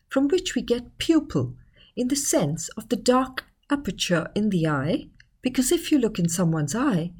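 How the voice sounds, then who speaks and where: A woman reading a scripted educational article in a measured, explanatory manner. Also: mid-range voice, a little mellow, husky. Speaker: article narrator.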